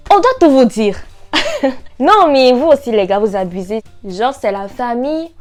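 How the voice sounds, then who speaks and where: A woman's voice: on the high side, unhurried, faint music behind. A young woman with an Ivorian French accent, chatting casually and jokily to camera in a vlog. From Côte d'Ivoire. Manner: lively, joking, animated. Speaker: YouTuber.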